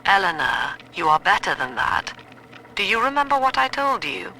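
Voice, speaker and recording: A woman playing a controlled, imperious mother with cold ambitions, in a measured game audio-log drama. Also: mid-range voice, big pitch swings, bright, forceful tone. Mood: stern, composed. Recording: faintly noisy audio-log recording.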